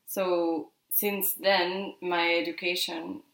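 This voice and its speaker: A woman's voice: fairly low pitch, flat delivery, takes it slowly, vocal fry, fairly bright tone, reverberant, rough recording. A woman in her twenties from Peru, Spanish her first language, chatting casually as an interview guest and often tagging sentences with "no?". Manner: chatty, lots of "like" and tag "no?". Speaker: podcast guest.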